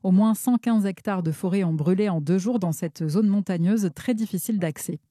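A woman's voice: mid-range voice, moderate pace, warm tone, dry recording, clean studio sound. A woman reading a scripted radio news bulletin as a newsreader.

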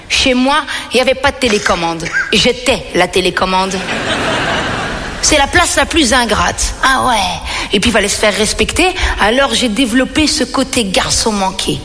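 A woman from Belgium tells stories on stage as a stand-up comedian, in a high, bright voice. Her delivery is animated and addressed straight to the audience. The voice rings out in a reverberant hall. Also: a little hiss or hum. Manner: animated storytelling with direct questions to the audience. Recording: hall reverb with music behind.

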